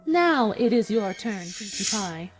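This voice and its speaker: A woman voicing a cruel female villain pony in a dramatic fan fiction reading, deliberate and mockingly sympathetic. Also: medium pitch, sing-song. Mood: coolly mocking. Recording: music behind the voice.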